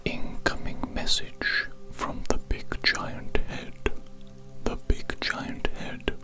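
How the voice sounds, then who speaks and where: A performer voicing an impersonal alien message system in a slow, formal, deliberate whisper. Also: over loud music, close to an everyday voice. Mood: flat, official.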